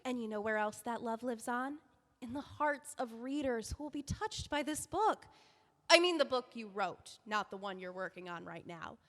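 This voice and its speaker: A woman plays an earnest young editor in an audio drama, her high voice swinging all over as she pleads and rushes from one thought to the next. A long, reverberant room tail hangs behind every line. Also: animated, a bit bright. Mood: heartfelt. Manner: earnest, rushing, emotional pleading.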